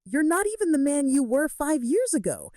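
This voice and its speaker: A devoted young heroine, acted by a woman narrator, firm and earnest with the man she loves. Her intonation is lively at a middle pitch, recorded dry for a romance audiobook. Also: normal speed, no room sound. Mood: firm, tender.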